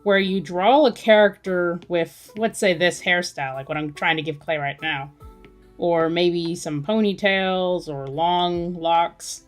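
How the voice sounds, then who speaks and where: A woman's voice: lowish voice, steady tone, a little slow. A woman chatting casually as she draws on a livestream, thinking aloud in a musing way. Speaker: artist hosting a drawing livestream. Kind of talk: casual chat while drawing. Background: music.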